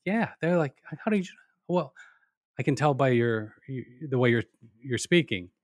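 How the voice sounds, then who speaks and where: A man from Pittsburgh hosting a podcast, chatting casually with quick reactions and short agreements. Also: medium pitch, lively intonation, no room sound, clean studio sound. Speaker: podcast host. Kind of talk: casual conversation.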